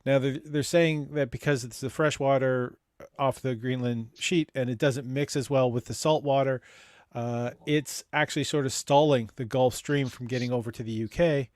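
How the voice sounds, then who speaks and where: A man's voice: medium pitch, steady tone, normal speed, a little mellow, dry recording, studio quality. A man chatting on a video call, rambling and hesitant with many fillers and restarts. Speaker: chat show co-host. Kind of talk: casual video chat.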